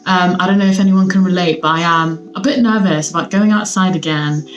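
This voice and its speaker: A young woman from Sweden talks straight to her viewers in a relaxed, upbeat home vlog. Her voice sits a little low for a woman. She chats in a loose, rambling way with plenty of fillers, and faint music plays underneath. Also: a little fast, highs cut off. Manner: chatty, upbeat, lots of fillers. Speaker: vlogger. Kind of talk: casual chat to camera. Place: at home, seated.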